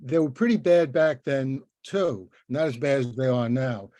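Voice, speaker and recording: Older male interviewer, mid-pitched, soft mellow tone, dry close sound, effusive, rambling, conversational. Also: a little slow, no room sound. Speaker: interviewer and host, professor.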